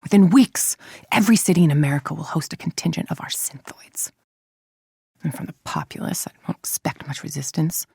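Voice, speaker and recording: A woman playing a cold, calculating villain scientist-executive in a video game, speaking in a measured, imperious, menacing way with a husky, creaky voice. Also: low voice, darkish tone, no room sound, studio quality, exaggerated voice. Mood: quietly menacing. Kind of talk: scripted dramatic video game monologue.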